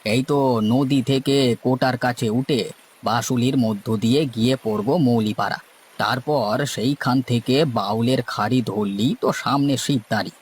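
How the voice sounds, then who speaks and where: A man's voice: medium pitch, moderate pace, a little hiss or hum, lightly acted. A man playing a seasoned, boastful village boatman in a cartoon, with a folksy, lecturing manner. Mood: knowing, explaining. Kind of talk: cartoon story dialogue.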